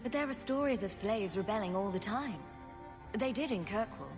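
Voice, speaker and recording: A medium-pitched actress's voice as a wry fantasy game heroine. She is direct and dryly questioning. Music is clearly audible behind her. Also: expressive, normal speed, lightly acted. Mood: probing. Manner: questioning, dry. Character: wry, probing heroine mage, adult woman, direct and dry. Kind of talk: dramatic video game acting.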